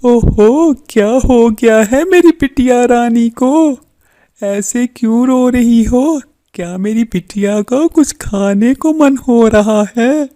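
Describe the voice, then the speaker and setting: A woman voices a kindly, poor father bird in a children's cartoon. The delivery is slow and mellow in a fairly high register, patient and soothing. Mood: concerned, tender. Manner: soothing, coaxing. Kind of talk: Hindi children's cartoon character voice.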